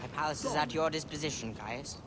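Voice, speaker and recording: An actor playing a haughty, suspicious young pharaoh in a video game cutscene, in a reverberant hall. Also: male voice, on the high side, brisk, lightly acted. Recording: reverberant hall, faint noise.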